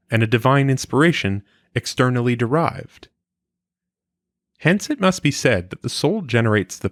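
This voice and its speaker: A man reads a scripted philosophical text in a fairly low voice. His delivery is formal and measured, with clearly shaped phrases. The sound is very dry, with hardly any room around it. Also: dry recording. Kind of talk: scripted audiobook-style reading of an old philosophical text.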